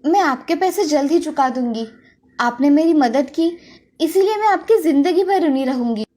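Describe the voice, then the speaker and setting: Woman acting a high-voiced dutiful young daughter. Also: expressive, moderate pace, mellow. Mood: pleading, grateful. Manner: pleading, respectful. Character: dutiful, earnest college-age daughter in a village. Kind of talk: children's animated story acting.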